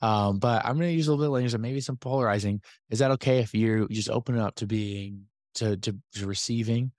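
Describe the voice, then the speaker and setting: A man hosting a business podcast, talking casually to his community with a slightly hoarse voice and lots of fillers and asides. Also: on the low side, quick pace, dry recording, clean studio sound. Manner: energetic, chatty, many fillers and asides. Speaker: podcast host and business coach. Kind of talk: casual talk to a community call.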